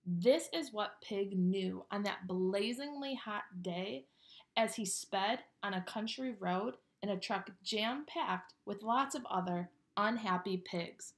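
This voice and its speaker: A woman reading a children's picture book aloud with expressive, dramatic storytelling delivery. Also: medium pitch.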